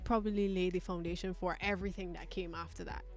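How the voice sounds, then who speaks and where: A woman's voice: lowish voice, fairly even intonation, quick pace, soft background music. A woman from Barbados, talking candidly and thoughtfully as a guest in a personal interview. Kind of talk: reflective personal conversation.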